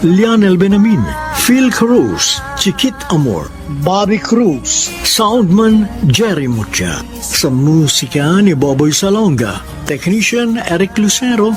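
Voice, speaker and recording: High-pitched, animated Filipino male announcer. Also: slow. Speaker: radio drama announcer. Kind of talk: scripted credits announcement. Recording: music bed behind the voice.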